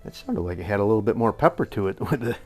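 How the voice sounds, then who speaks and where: An older man with a slightly hoarse voice, rambling through a casual airgun review in a workshop and chuckling often. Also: lowish voice, very animated, racing, over loud music. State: a little hoarse. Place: indoor workshop with tool wall. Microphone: camera at a few feet.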